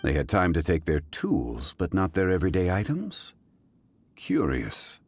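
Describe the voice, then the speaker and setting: An actor playing a composed, scholarly gentleman investigator in a video game, speaking in a formal, measured, analytical way. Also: sits low, huge pitch swings, slightly rough, no room sound, heavily acted. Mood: calm, analytical. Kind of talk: video game character dialogue.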